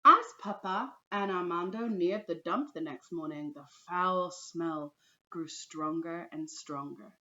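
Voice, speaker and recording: Slow, deliberate woman teacher reading aloud. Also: on the low side, pushed and bright, highs cut off. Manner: deliberate storytelling, addresses pupils directly. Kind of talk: children's storybook read-aloud.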